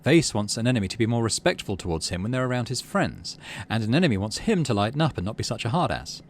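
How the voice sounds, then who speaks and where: A man, middle-aged, hosting a game playthrough, mixing casual commentary with expressive story reading. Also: mid-range voice, fast talker, slight background noise. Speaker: let's play host.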